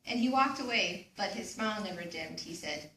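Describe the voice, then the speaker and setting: A young woman delivers scripted dramatic narration with a bright, medium-pitched voice. She sounds set back from the mic in an echoey room. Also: animated, normal speed. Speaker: student reader and narrator in a readers theater. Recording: echoey room, distant mic, faint noise.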